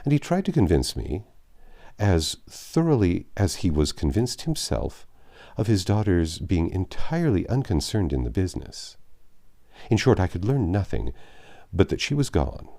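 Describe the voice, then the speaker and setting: Man reading as a deep, sorrowful English gentleman. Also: big pitch swings, creaky, heavily acted. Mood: sombre, regretful. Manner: halting, earnest, formal. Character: grave, sorrowful middle-aged English gentleman confessing with restraint. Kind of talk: dramatic audiobook reading of classic novel.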